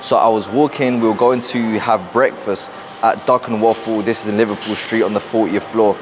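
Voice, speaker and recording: A young man with a London accent, talking fast and rambling to camera in a casual motivational vlog, wearing a clip-on mic in a gym. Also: fairly low pitch, steady tone, noisy background. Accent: London English. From South London, England. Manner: fast, rambling, repeats himself. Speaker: fitness and mindset coach. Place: busy gym.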